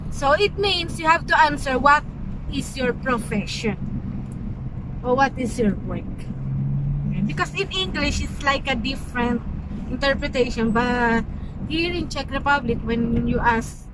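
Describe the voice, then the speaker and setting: High-voiced Filipino woman over loud road noise. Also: lively intonation, a little slow. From Philippines. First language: Tagalog. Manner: hesitant, explaining, repeating words. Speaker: vlogger learning and explaining Czech. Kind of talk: casual language tutorial chat. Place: moving vehicle on a road trip.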